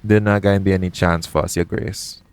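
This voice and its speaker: Man playing low-voiced pirate captain. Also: level tone, fairly quick, background noise, close to an everyday voice. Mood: guarded, wistful. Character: shady, guarded pirate captain, roguish yet gentle. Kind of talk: intimate audio roleplay acting addressed to the listener.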